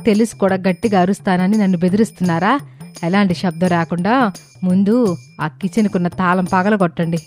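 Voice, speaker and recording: A woman's voice: fairly low pitch, steady tone, a little fast, darkish tone, close to an everyday voice. Lady doing a greedy middle-aged mother-in-law in a story, dramatic, pushy, faint music behind. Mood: bossy, scheming. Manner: insistent, dramatic, argumentative. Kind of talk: dramatised moral story acting.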